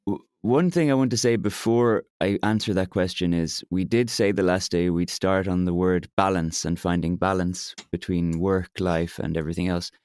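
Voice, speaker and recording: A young man from Ireland with an Irish accent, a bit hoarse today, talking openly in a therapy podcast and pausing often with fillers and restarts. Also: sits low, darkish tone, dry recording, studio quality. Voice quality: hesitant, many fillers and restarts. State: slightly hoarse from shouting. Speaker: podcast co-host, client. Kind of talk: candid therapy conversation.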